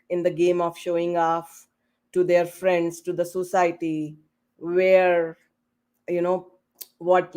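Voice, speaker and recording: A woman hosting a panel discussion on a video call, asking rambling questions in a casual, halting way with many "you know"s. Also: on the low side, dark tone. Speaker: host and moderator of a panel podcast. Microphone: online video call.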